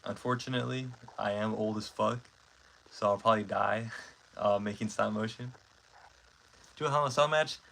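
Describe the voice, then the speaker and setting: Gravelly young man rambling on a livestream. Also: fairly low pitch, moderate pace, long pauses, fairly bright tone, a little hiss or hum. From Mexico. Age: 20s. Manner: rambling, filler-heavy, self-correcting. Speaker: stop-motion creator hosting a livestream. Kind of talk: casual livestream chat, reading comments.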